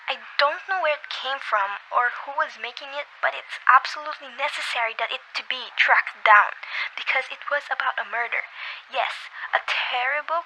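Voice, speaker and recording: A woman's voice: high-pitched, sharp tone, slight background noise, heavily acted, poor audio. A young woman in an amateur radio play acting an imperious, fretful married woman who is demanding and indignant. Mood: urgent, anxious. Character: imperious, agitated, self-important married woman. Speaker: amateur voice actor.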